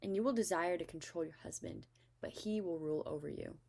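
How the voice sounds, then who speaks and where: A stern, commanding God of scripture, read aloud by a woman, pronouncing with solemn emphasis. The voice is low, with a creaky edge. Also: brisk, darkish tone. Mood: solemn judgment.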